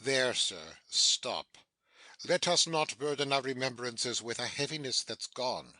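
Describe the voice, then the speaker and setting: A man playing a dignified, commanding older duke in a dramatic Shakespeare audiobook, speaking in a stately, deliberate way. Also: mid-range voice, animated, bright, forceful tone, dry recording. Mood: gently firm.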